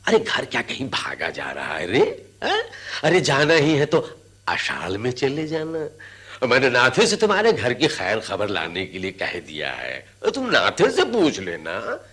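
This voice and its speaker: An actor playing a greedy older boss of elephant catchers in a radio drama, coaxing and patronising with drawn-out exclamations. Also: male voice, medium pitch, wildly swooping pitch, a bit bright, husky, exaggerated voice. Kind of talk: Hindi radio drama acting.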